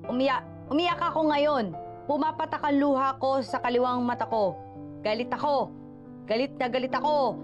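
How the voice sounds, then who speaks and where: A woman's voice: sits high, steady tone, normal speed, highs cut off, music in the background, voice pushed up, lightly acted. A woman playing a stiff radio play heroine, reading melodramatic lines in a wooden, declamatory way. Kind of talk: badly acted radio drama.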